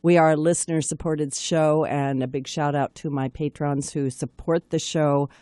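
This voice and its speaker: A woman's voice: deep voice, steady tone, normal speed, dark tone, dry recording, studio quality. A woman hosting a live radio talk show in a chatty, enthusiastic way.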